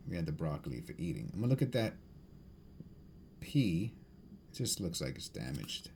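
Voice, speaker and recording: Male vlog host, low-pitched, loose unscripted chat, thinks out loud and doubles back on phrases, some background noise. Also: big pitch swings, moderate pace, vocal fry. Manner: musing aloud, repeats and corrects himself. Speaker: coin hunting video host. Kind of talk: rambling casual chat while sorting coins.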